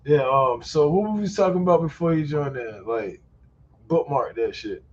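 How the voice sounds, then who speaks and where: A man's voice: a little high, animated, normal speed, muffled recording. A man hosting a casual online voice chat, slangy and loose, swearing often and laughing a lot. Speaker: host. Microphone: online call mic.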